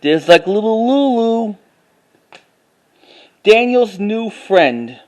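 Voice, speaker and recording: A man narrating a casual, unscripted show-and-tell as a collector, haltingly, repeating phrases and reading titles aloud. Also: high voice, animated. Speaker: collector showing finds to camera.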